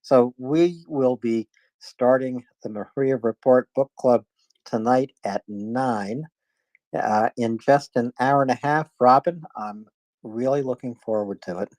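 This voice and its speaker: A man hosting a live online discussion, speaking casually in a halting, hesitant way. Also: mid-range voice, a little mellow, dry recording, low-quality recording. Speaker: host of a live audio discussion space. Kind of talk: casual announcing, chatting with guests. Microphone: live online audio chat.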